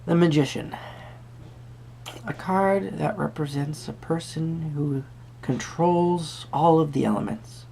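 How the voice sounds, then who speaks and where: A man playing an eccentric, courtly tarot card reader, speaking softly and politely to the listener with theatrical, rambling musings and murmured asides in a husky, breathy voice. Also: on the high side, slow. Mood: courteous, mysterious. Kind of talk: soft-spoken tarot reading roleplay to the viewer.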